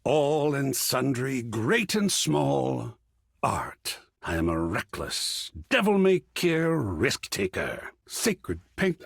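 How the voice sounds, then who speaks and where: A man's voice: on the high side, vocal fry, breathy edge, no echo, studio quality, full character voice. A man voicing a whimsical, pretentious living artwork in a video game, with a theatrical, florid and effusive manner. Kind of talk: video game character acting.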